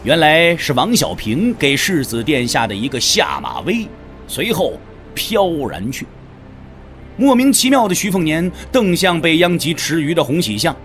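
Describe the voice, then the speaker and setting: Animated male wuxia storyteller, higher-pitched. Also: a little slow, faint music behind. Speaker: narrator. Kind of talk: dramatic scripted wuxia audiobook narration.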